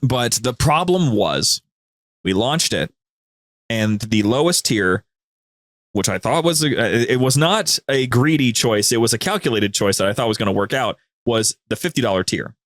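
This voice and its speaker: A man talks casually as a guest on a podcast, spinning out stories with animated emphasis and frequent detours. His voice sits at a middle pitch, and the sound is very dry. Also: normal speed, no echo. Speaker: podcast guest, co-host of a gaming media group. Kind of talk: casual chat, storytelling.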